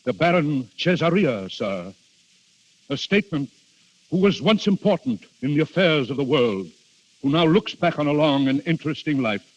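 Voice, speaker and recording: An actor in a 1930s radio drama playing an anxious, dutiful duke, speaking gravely and haltingly with great deference. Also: male voice, a little high, lively intonation. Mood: nervously deferential. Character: anxious, dutiful Italian duke hosting a dreadful guest. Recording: muffled, dull 1930s radio recording.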